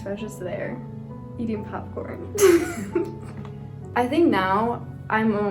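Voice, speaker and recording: A nineteen-year-old woman giving laid-back, giggly interview answers peppered with "like". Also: medium pitch. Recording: music underneath.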